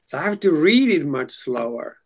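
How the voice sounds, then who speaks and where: A man whose first language is Slovak, leading a casual reading group chat and speaking haltingly, with frequent restarts. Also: fairly high pitch, very animated. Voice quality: halting, many restarts and fillers.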